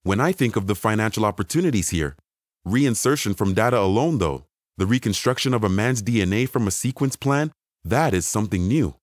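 A man's voice: lowish voice, lively intonation, a little fast, no echo, clean studio sound, lightly acted. A man playing an incredulous, money-minded manager in an audio story, with an astonished, questioning delivery. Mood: questioning, intrigued. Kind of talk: acted dialogue in an audio short story.

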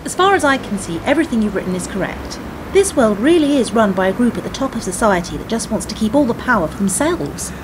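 A woman's voice: on the high side, very animated, fast talker, noisy background. A woman playing an earnest, inquisitive admirer with a questioning manner in a radio play. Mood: admiring. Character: eager, inquisitive female fan with a dull job. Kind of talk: radio drama dialogue.

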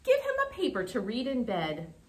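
A woman's voice: fairly high pitch, huge pitch swings, moderate pace, echoey room. A woman voicing a helpful, eager small child speaking up in a children's storybook.

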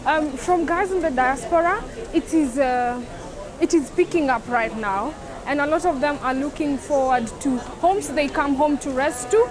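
High-voiced woman at a busy expo. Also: moderate pace, crowd noise. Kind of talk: interview at an expo stand. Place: indoor expo stand.

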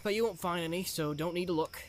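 A man's voice: high-pitched, quick pace, voice pushed up, close to an everyday voice. A man voicing a plain-spoken, stubborn young hobbit servant in an audiobook. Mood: coaxing, exasperated. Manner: folksy, scolding, practical. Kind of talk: dramatised audiobook character voice. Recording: clear outdoor ambience noise behind.

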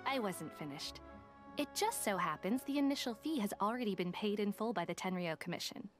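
A woman's voice: medium pitch, animated, fairly quick, natural voice. An actress playing a confident, businesslike young female detective in an anime game, shrewd and self-assured. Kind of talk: anime video game dialogue. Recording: music behind the dialogue.

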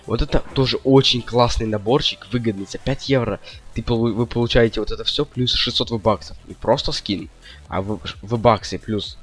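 A man's voice: mid-range voice, steady tone, normal speed, a bit bright, faint music behind. A young man giving casual gameplay commentary, rambling indecisively and slangily to his viewers. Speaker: gaming video host.

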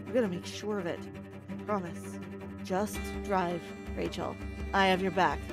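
A woman's voice: lowish voice, steady tone, moderate pace, loud music behind the voice, close to an everyday voice. A woman playing a bold, devoted survivor fleeing aliens in an audio drama, emphatic and dramatic. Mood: tender reassurance.

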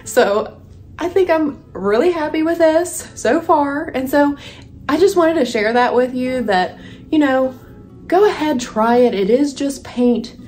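A woman's voice: high voice, expressive, measured pace, darkish tone. A woman in her thirties chatting casually and encouragingly to viewers of her home vlog, recorded indoors at home. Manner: encouraging, addresses viewers directly. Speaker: home decor and lifestyle vlogger. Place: rooms of a house, indoors. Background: background music.

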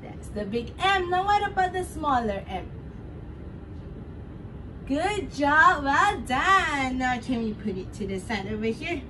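A woman's voice: sits high, very animated, takes it slowly. A young woman with a Singaporean accent leads an interactive lesson for preschoolers, speaking in short, simple, encouraging phrases with exaggerated sing-song delivery. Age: young adult. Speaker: preschool teacher at a children's gym. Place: indoor padded children's gym. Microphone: camera a few metres away. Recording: distant mic with clear room noise.

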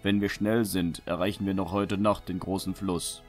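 Wandering knight for fantasy radio play, male actor: low voice, roguish, weary, wry. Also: level tone, moderate pace, soft background music, natural voice. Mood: wry, resigned. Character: roguish, weary travelling knight, fond of his horse. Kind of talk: audio drama acting.

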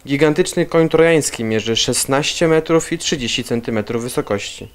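A man reading a scripted local news report as a voice-over, with an even, read-aloud delivery. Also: mid-range voice, normal speed.

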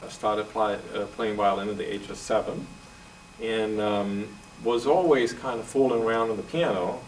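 A man in his forties from Denmark with a Danish accent, answering interview questions in a relaxed, thoughtful way with filler pauses. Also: fairly low pitch, distant mic, slight background noise, rough recording. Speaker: guest jazz violinist. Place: office room, indoors.

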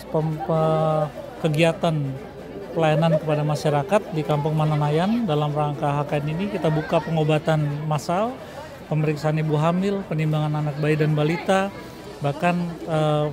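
Higher-voiced male official over crowd. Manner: hesitant with restarts. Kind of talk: interview statement. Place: outdoors at a village health event. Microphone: handheld phones held up by reporters. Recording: outdoor crowd noise.

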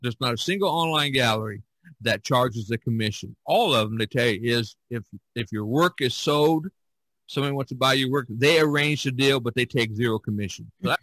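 A man's voice: medium pitch, fairly quick, a bit bright, dry recording, low-quality recording. A man hosting a casual podcast discussion as a painter, talking in a rambling, chatty way.

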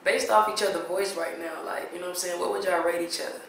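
A young man hosting a blind date, talking in an energetic, slang-heavy way. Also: high voice, quick pace, gravelly, a little hiss or hum. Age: young adult. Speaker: host. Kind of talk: casual chat. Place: living room indoors. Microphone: far camera. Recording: echoey room from far off.

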